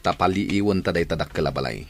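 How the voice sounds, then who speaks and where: A man's voice: sits low, fast. A man playing a wary, scheming burglar ringleader in an audio drama, guarded and giving instructions.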